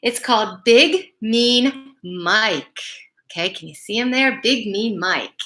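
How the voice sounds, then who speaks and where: A woman reads a children's picture book aloud in a bright, fairly high voice. Her delivery is unhurried and very expressive, leaning on key words and speaking directly to young listeners. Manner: deliberate, emphatic phrasing, addresses young listeners. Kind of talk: expressive read-aloud bedtime story with asides.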